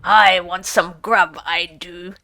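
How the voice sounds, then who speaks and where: A woman voicing a gruff old male badger who talks in a blunt, common way and gives orders loudly. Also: lowish voice, steady tone, takes it slowly, voice pushed down, exaggerated voice. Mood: gruff demanding. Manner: blunt, plain common way of speaking.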